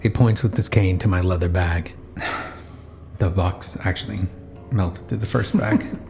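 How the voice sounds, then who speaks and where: Male narrator for first-person horror: very low, monotone, measured scripted delivery. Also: creaky, faint music behind. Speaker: narrator and writer of horror story.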